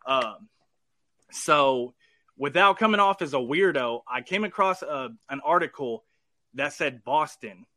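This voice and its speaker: A man's voice: on the high side, lively intonation, a little slow, pushed and bright, no room sound, studio quality. A man hosting a casual podcast interview, rambling and restarting often, with casual swearing. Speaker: podcast host.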